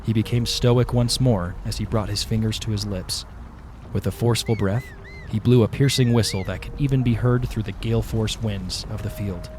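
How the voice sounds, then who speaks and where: A man narrating a scripted fantasy audio drama in a measured storytelling manner. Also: fairly low pitch, steady tone, noisy background. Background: background music.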